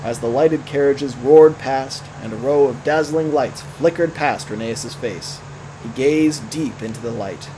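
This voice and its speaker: A man narrating a scripted audio drama as a storyteller, with theatrical, deliberate phrasing. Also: medium pitch, dark tone, slight background noise, rough recording.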